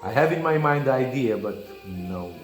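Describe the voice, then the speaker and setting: An older man with a Romanian accent talks in a slow, halting way and keeps stopping to find the right English word. His voice sits at a middling pitch. It rings in an echoey room with music playing clearly behind him. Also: lively intonation. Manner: halting, searching for English words. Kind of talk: casual conversation.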